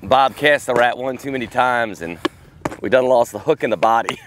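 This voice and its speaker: A man's voice: medium pitch, moderate pace. A man hosting a casual fishing vlog from an open boat, talking with exclamations and self-deprecating banter. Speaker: fishing show host. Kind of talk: casual chat while fishing, excitable. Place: small open boat on a lake, outdoors. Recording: outdoor noise on an open boat.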